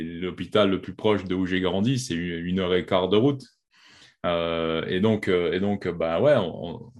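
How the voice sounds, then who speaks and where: Deep-voiced relaxed slangy man. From Quebec. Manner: relaxed, slangy, frequent "tu vois". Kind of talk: casual conversation.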